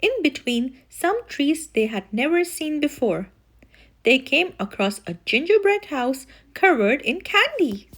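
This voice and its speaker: A woman reading a children's story aloud in an expressive way, with animated, dramatic emphasis. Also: high voice, a little slow. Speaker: children's story reader and channel host.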